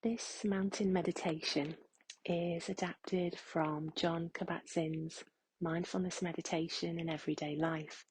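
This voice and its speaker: Female meditation guide: very breathy, mellow, very slow and gentle, close dry sound. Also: on the low side, no room sound, low-quality recording. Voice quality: soft, breathy, hushed. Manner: gentle, unhurried, with long pauses.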